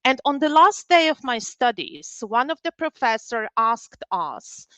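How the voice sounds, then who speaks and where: A woman's voice: a little high, very animated, unhurried, sharp tone, no echo. A woman in her forties from Poland, giving a personal storytelling talk as a learning and development trainer in an online workshop.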